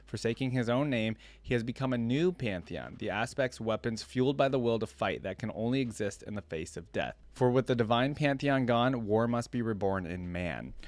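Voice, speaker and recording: A man hosting a reaction video, switching between reading aloud and casual, rambling commentary as he thinks out loud. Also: medium pitch, animated, normal speed, few pauses, dry recording.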